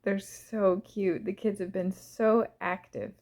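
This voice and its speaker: Slow, mellow woman with creaky softness. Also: medium pitch, fairly even intonation. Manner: gentle, unhurried, pausing between short phrases. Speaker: guide of a visualization exercise. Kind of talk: slow, soothing first-person guided visualization.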